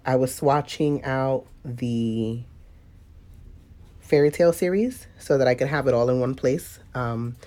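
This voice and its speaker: A woman's voice: very deep voice, mellow. A woman with a stuffy, sniffly nose giving a casual live painting tutorial, chatty and laughing often as she greets viewers. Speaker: watercolor painting instructor.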